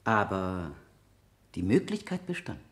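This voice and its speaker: An actor playing a relentless, aggressive prosecutor in a courtroom radio drama, pressing with theatrical rhetoric. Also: male voice, low-pitched, very animated, a little slow, heavily acted. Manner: forceful rhetoric, pressing questions. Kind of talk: 1970 German courtroom radio drama acting. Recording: echoey room, 1970 radio production.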